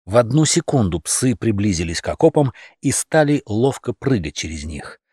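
A man narrating a humorous animal tale as an audiobook, in an expressive, theatrical storytelling manner. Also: on the low side, husky, no echo, studio quality.

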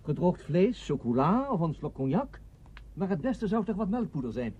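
An actor playing a solicitous, coaxing companion on a polar expedition in a 1960s radio drama. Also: male voice, high-pitched, sing-song, normal speed, husky, full character voice. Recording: dull old radio recording.

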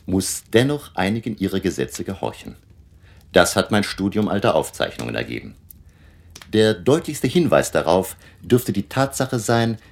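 An actor playing a grave, learned vampire hunter who lectures his allies deliberately and emphatically in a German radio drama. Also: low voice, gravelly, exaggerated voice.

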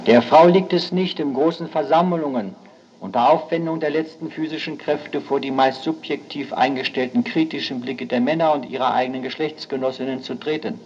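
A man's voice: medium pitch, brisk, a little mellow, natural voice. Man playing earnest politician, slightly dull sound. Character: earnest male parliamentary delegate of the late 1940s. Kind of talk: acted speech from historical minutes.

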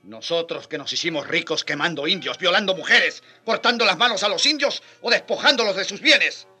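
Sharp-voiced, indignant old friar. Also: male voice, high-pitched, animated, a little fast, breathy edge, faint music behind, heavily acted. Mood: pleading. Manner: earnest, reproachful, impassioned. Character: indignant old Spanish friar pleading for justice. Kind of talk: radio drama acting.

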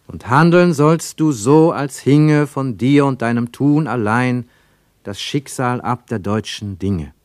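A man's voice: medium pitch, takes it slowly, a little mellow, dry recording, voice pushed up, close to an everyday voice. A male narrator puts on a fervent, zealous German youth in a radio drama. The delivery is exalted and declamatory, raised above plain narration, in a dry studio. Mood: oath-like, loud. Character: zealous German boy reciting Nazi-era pledges with exalted faith. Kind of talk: radio play narrator voicing quoted speech.

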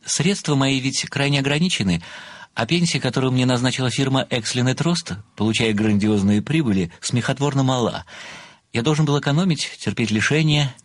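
An actor playing an earnest pensioner, an amateur detective and devoted admirer, speaking with formal, deferential precision in a theatrical audio drama. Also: male voice, medium pitch, fairly quick, dry recording, natural voice. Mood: plaintive, earnest. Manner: formal, deferential, precise, declamatory when enthused.

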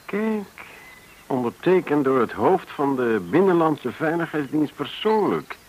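An actor playing a wry, sharp retired army officer, dry and formally polite in a radio play. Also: male voice, fairly high pitch, very animated, a little slow. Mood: suspicious, probing. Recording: noisy old radio play recording.

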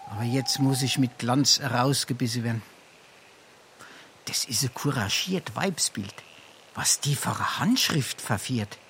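An actor playing a brazen, pompous scrounger in a Darmstadt Hessian dialect comedy, theatrical and blustering. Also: male voice, mid-range voice, measured pace, gravelly, a bit bright, a little hiss or hum. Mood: self-pitying bravado. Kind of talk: dialect stage comedy acting.

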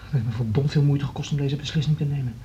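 Radio drama casting: actor as dutiful, worn-out orchestra man, subdued delivery, soft dark timbre, dull lo-fi recording. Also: steady tone, fast talker, lightly acted. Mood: regretful, resigned. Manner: hesitant, subdued, earnest. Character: Berend, weary, dutiful male orchestra conductor stepping down. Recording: muffled lo-fi recording, faint rumbling noise.

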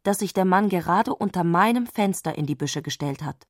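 Low-voiced woman narrating literary radio drama. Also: animated, moderate pace, dry recording, studio quality.

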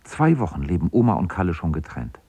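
A man narrating a radio play as a calm storyteller in a scripted style. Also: deep voice, fairly quick, slightly rough, dry recording, full character voice.

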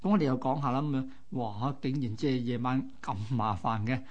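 A man's voice: mid-range voice, a little fast, lo-fi sound. A man with a Hong Kong Cantonese accent co-hosting, telling local history in a colloquial, explanatory way. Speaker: radio co-host. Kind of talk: conversational history storytelling.

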